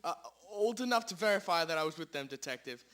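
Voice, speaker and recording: Man playing a high, bright, blustering fat suspect. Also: animated, quick pace. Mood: indignant. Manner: stammering, blustering, defensive. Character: nervous, indignant fat man, gluttonous murder-mystery suspect. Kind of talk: amateur school radio drama acting.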